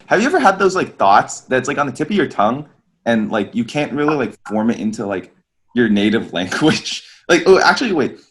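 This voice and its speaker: A man's voice: mid-range voice, big pitch swings, fairly quick. A man thinking aloud in casual group talk, searching for his words. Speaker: podcast guest. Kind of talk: casual chat.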